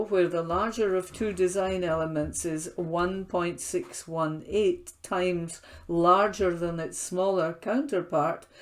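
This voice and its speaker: A woman reads a written feature article aloud at a very unhurried pace. Her voice sits a little low, and she records as a volunteer newspaper reader from home. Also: a little mellow.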